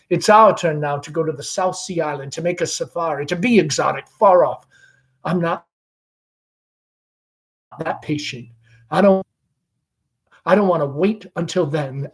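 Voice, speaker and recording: A man's voice: a little high, natural voice. A man playing a restless, dreamy and discontented young warehouse worker in a stage play read aloud, with impassioned, brooding speeches.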